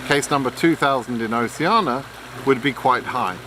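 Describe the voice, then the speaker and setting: A man's voice: mid-range voice, moderate pace, noisy background. A man giving blunt, frank advice in an unscripted explainer, lecturing with frequent ums and restarts. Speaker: immigration advice vlogger.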